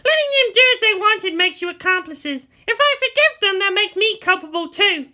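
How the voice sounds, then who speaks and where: A man voicing a fiery, bossy teenage anime girl who scolds and shouts indignantly. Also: extremely high pitch, big pitch swings, moderate pace, voice pushed up, heavily acted.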